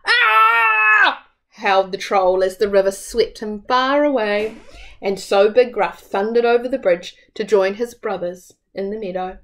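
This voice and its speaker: A woman's voice: mid-range voice, normal speed. A woman in her thirties reading a children's story aloud expressively, as a storyteller at home. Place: small room at home.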